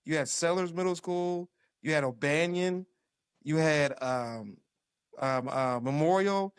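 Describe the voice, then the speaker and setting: A man in his fifties, a former football player, telling old team stories in a lively, laughing way. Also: fairly high pitch, a little slow, clear, resonant tone, dry recording, clean studio sound. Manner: animated storyteller with laughs. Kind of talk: casual reminiscing conversation.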